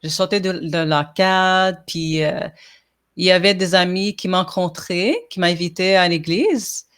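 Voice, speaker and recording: A woman's voice: on the low side, unhurried, fairly bright tone. A woman from Montreal whose first language is English, a podcast guest talking in a casual interview, hesitant with many fillers and restarts. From Montreal, Quebec.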